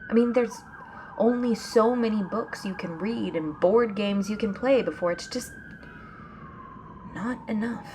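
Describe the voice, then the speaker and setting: A woman playing a restless, anxious young woman in an ASMR audio drama, speaking softly and hesitantly, often near a whisper. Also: medium pitch, measured pace, lightly acted. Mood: restless, exasperated. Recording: clear background noise, ambient sound.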